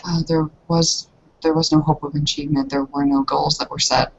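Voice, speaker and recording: A woman voicing a stoic, ashamed young female prisoner in a tabletop roleplay, subdued and halting. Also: low voice, little pitch movement, vocal fry. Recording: dull, slightly noisy online call audio.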